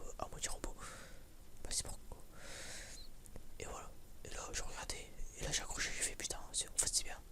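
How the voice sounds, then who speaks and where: A young man giving a casual ASMR chat in a whisper, rambling and hesitant, in informal, slangy words. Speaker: ASMR creator.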